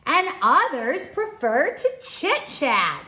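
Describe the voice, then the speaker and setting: A woman teaching young children, reading a storybook aloud in an expressive, deliberate way. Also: high-pitched. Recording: roomy, echoing indoor sound.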